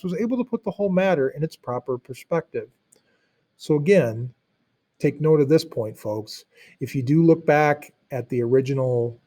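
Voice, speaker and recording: A man narrating a storybook read-aloud in an expressive, teacherly way, with explanatory asides. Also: medium pitch, slightly rough.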